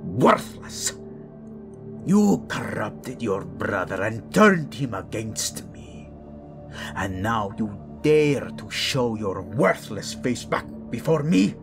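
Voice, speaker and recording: A man playing a cruel, contemptuous old king in a fantasy audio drama, imperious and swinging from hiss to shout. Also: fairly low pitch, sing-song, slow, music in the background, exaggerated voice. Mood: scornful, furious.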